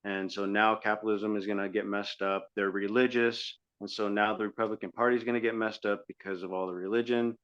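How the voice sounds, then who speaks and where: A man's voice: lowish voice, flat delivery, a little fast, hardly any pauses, a bit bright, clear, resonant tone. A man co-hosting a podcast, giving argumentative, critical political commentary in a conversational style. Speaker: podcast co-host. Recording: dull lo-fi audio.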